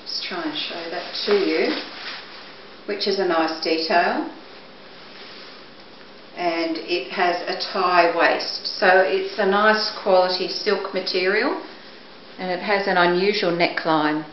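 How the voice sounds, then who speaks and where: Slow-talking middle-aged woman in echoey room. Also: lowish voice. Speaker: auction presenter. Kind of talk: product showcase, descriptive talk. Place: indoors, living or dining room at home. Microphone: camera microphone a few steps away. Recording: echoey room, dull muffled sound, background hiss.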